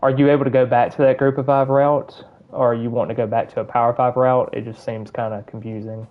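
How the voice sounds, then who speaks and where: A man's voice: medium pitch, little pitch movement, fast talker, narrow, tinny audio. Rambling Southern-accented man streaming a game. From Alabama. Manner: rambling, filler-heavy, chatting while playing. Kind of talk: casual gameplay commentary.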